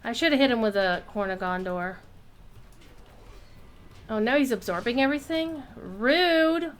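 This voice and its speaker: A woman giving casual live commentary while streaming a game, playful and expressive, with some salty language. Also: on the high side, unhurried.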